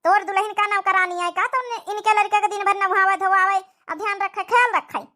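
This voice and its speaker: A woman's voice: very high voice, steady tone, a little fast. A woman voicing a scolding, indignant old village mother-in-law in a comic cartoon, with an Awadhi accent and nagging questions. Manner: nagging, repeats sharp questioning tags.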